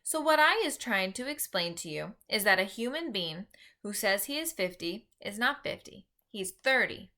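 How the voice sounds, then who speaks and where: A woman voicing an earnest, easily exasperated gentle male giant in a children's storybook, speaking emphatically in folksy broken grammar with invented words. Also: medium pitch, expressive, normal speed. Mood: earnest lecturing. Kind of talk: read-aloud children's storybook.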